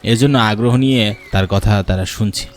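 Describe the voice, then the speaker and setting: A man's voice: lowish voice, normal speed, darkish tone, soft background music. A man reading scripted narration for a story.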